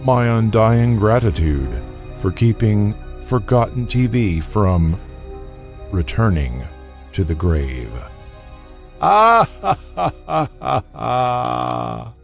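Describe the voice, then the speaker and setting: Gravelly, slow, ghoulish horror host. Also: male voice, on the low side, sing-song, soft background music, heavily acted. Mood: gleeful cackling. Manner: slow, theatrical, cackling. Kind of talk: campy horror host introduction.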